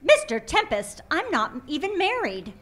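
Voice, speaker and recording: Casting: woman as a proper, patient young assistant, high and lively. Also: normal speed, exaggerated voice. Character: prim young female assistant, secretive.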